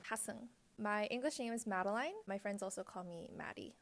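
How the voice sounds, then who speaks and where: A young woman answers interview questions in a chatty, easygoing way. She talks at a middle pitch, with plenty of fillers. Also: brisk, vocal fry, a little hiss or hum. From Korea, raised in the United States. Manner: chatty, with fillers and light laughs. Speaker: interviewee, student.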